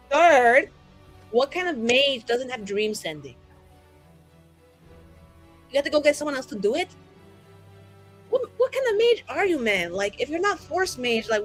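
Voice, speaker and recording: A woman roleplaying an exasperated, loving sister who scolds her brother in blunt, sarcastic slang. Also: high-pitched. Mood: incredulous scolding. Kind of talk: improvised in-character tabletop roleplay.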